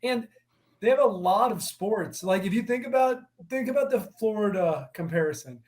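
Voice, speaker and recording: A man's voice: high voice, lively intonation, normal speed, a little mellow. A man co-hosting a casual podcast who spins playful, rambling riffs. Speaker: podcast co-host. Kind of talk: casual chat.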